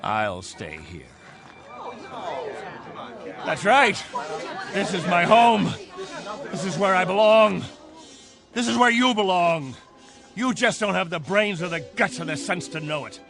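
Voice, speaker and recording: An actor playing a stubborn, domineering captain of stranded colonists, speaking in a defiant, declamatory way in a radio drama. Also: male voice, high voice, animated, slow pace, pauses often, music in the background, crowd noise, heavily acted.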